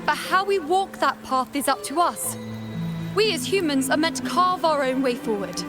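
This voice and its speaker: Composed game heroine, high voice, insightful, music behind. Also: female voice, expressive, normal speed. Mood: urgent, rousing. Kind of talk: scripted video game voice acting.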